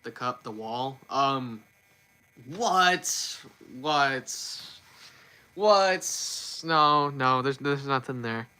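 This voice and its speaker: A man's voice: mid-range voice, animated, drawn-out words, sharp tone, clear, resonant tone. A man hosting a casual livestream and talking off the cuff. He stumbles, restarts his sentences and repeats words often. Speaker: livestream host.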